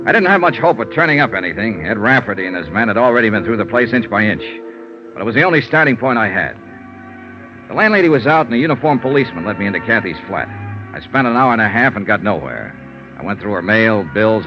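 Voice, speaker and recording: An American man acting a tough, wisecracking insurance investigator in a 1950s radio drama, clipped and sardonic, with hardboiled narration. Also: fairly low pitch. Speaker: narrator and lead actor. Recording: muffled, noisy vintage radio recording.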